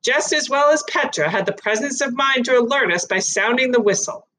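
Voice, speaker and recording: A woman voicing a calm male police inspector in a storybook reading, formal and measured as he accuses. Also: on the high side, a little hiss or hum, voice pushed up, lightly acted, rough recording. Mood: stern.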